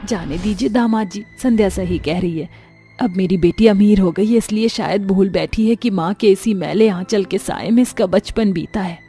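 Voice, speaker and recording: A woman's voice: medium pitch, normal speed, warm tone, lightly acted. A woman playing a poor, humble widowed mother who pleads emotionally in a storybook drama. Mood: tender, hurt. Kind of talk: audio storybook drama acting. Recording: music under the voice.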